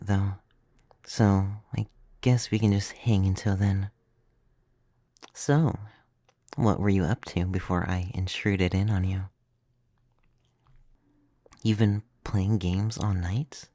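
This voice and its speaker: Man as a creaky, soft-spoken ASMR vampire. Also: fairly low pitch, no room sound, studio quality, exaggerated voice. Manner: hesitant, intimate, playfully teasing. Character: clumsy, gentle, centuries-old male vampire, teasing and coaxing. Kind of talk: ASMR roleplay, soft-spoken with whispered stretches. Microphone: close, dry microphone.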